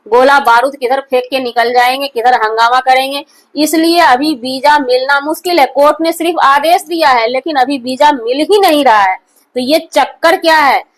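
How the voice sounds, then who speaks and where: A woman's voice: sits high, moderate pace, poor audio. A woman gives opinionated news commentary in an emphatic, repetitive and accusatory manner. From India. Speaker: online commentary host.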